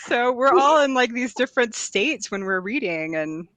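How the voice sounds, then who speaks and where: A woman's voice: fairly high pitch, lively intonation, normal speed, fairly bright tone, no echo. A woman author chatting casually about writing, in a thoughtful, somewhat hesitant manner. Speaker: author guest.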